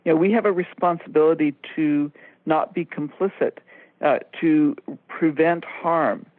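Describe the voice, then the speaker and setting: Female radio co-host, low-pitched for a woman, measured and explanatory, heard down a narrow phone line. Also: steady tone, no room sound. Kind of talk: conversational explanatory talk radio.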